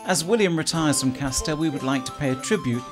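A man giving measured, scripted documentary narration as a voice-over. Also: a little high, music in the background. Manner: measured and formal.

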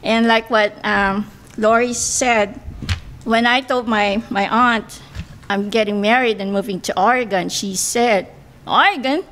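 A woman's voice: on the high side, fairly even intonation, a little slow, fairly bright tone. Careful Filipino-accented woman at a podium. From Philippines. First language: Filipino language. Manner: earnest, careful, with hesitant fillers. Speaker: political candidate. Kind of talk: candidate forum speech. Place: banquet room. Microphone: podium microphone.

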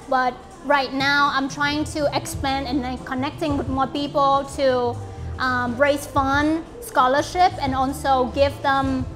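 A high-pitched woman with a Vietnamese accent speaks earnestly to a small group, her English halting and full of fillers. She stands in a bright apartment lounge, picked up by a camera some way off. Faint music plays softly behind her. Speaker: event host and company founder. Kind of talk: informal speech to a small group.

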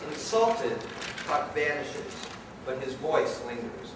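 A man's voice: mid-range voice, big pitch swings, takes it slowly. Male radio play narrator, very echoey hall. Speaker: narrator and director. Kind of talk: dramatic scripted narration of live radio play. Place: event hall before an audience. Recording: very echoey, distant, noisy live hall.

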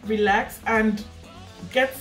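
A woman's voice: fairly high pitch, fairly even intonation, slow pace, music in the background, low-quality recording. A young woman from Nigeria hosting her channel, chatting casually and laughing often, recorded indoors in a living room by a camera a short way off. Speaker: channel host. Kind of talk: casual chat.

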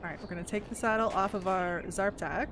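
A young woman casually commentating her Minecraft let's play, excitable and gushing over cute animals, a little hoarse. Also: medium pitch, brisk, background noise. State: slightly hoarse. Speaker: gaming YouTuber.

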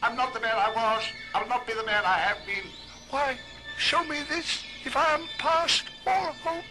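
An actor playing a frightened old miser in an old radio drama, theatrical and imploring. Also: male voice, extremely high pitch, normal speed. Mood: desperate pleading. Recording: old record with music underneath.

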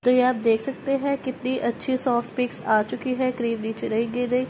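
A woman's voice: fairly high pitch, flat delivery, fairly quick, warm tone, slight background noise, poor audio. A woman from Pakistan, patiently explaining a recipe step by step as a cooking channel host. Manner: patient, instructive, addresses viewers directly.

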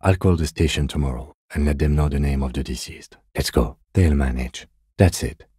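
Composed police detective, video game dialogue, husky, dark tone, close dry recording, restrained, dutiful. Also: male voice, extremely low pitch, dry recording. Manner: measured, restrained, matter-of-fact.

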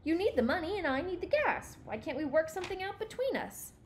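A woman's voice: fairly high pitch, expressive, moderate pace, a little hiss or hum, natural voice. A woman voicing a tiny, fussy male storybook adventurer who talks formally and with desperate, dejected drama. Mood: brisk, insistent. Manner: trembling, desperate, formal phrasing.

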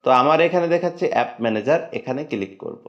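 A man narrating a step-by-step phone settings tutorial in a plain, steady instructional tone. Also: medium pitch, racing. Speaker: tech tutorial host. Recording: dull lo-fi voice-over.

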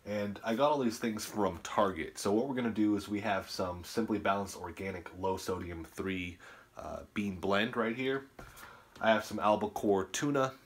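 A man's voice: fairly low pitch, moderate pace, slight background noise. A man in his thirties, a personal trainer, talking casually into a phone held at arm's length in his home kitchen, often checking in with "right?" and "okay?". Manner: chatty, explanatory, tags lines with "right?" and "okay?". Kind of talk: casual cooking and nutrition vlog. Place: small home kitchen indoors. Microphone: phone camera held at arm's length.

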